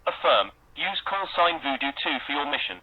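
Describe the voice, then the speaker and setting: A man's voice: on the high side, big pitch swings, normal speed. A man's automated voice acting as an air controller, replying through a radio filter. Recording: noisy phone-like radio channel.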